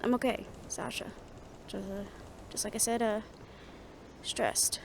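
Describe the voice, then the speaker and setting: A woman's voice: mid-range voice, fairly even intonation, a little slow, vocal fry, background noise. A woman playing a guarded, stressed young woman in a fantasy audio roleplay, speaking conversationally and with some hesitation. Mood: pensive, stressed. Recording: music behind the voice.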